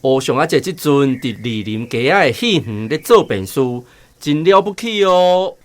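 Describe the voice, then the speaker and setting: A man's voice: on the high side, very animated, moderate pace. Village farmer father, Taiwanese accent, plain-spoken, hearty, swooping intonation, radio drama. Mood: hearty, admiring.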